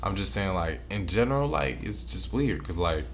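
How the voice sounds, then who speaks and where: A man's voice: low-pitched, expressive, normal speed, creaky, slight background noise. An American man co-hosting a podcast, talking casually with slang and swearing. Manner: informal, slangy, profane. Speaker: podcast co-host. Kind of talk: casual chat.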